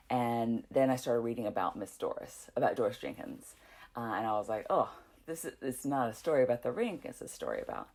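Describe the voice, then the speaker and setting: A woman's voice: rumbling low, gravelly, a little mellow. A woman from North Carolina, a documentary filmmaker and professor, speaking in a podcast interview and explaining her ideas with everyday examples.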